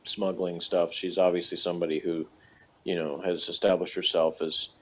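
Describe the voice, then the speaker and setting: A man chatting casually as a review co-host, thinking aloud in a halting, rambling way with small chuckles. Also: low voice, level tone. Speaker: podcast co-host reviewing a TV series. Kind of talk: casual chat, rambling analysis. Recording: dull, lo-fi audio.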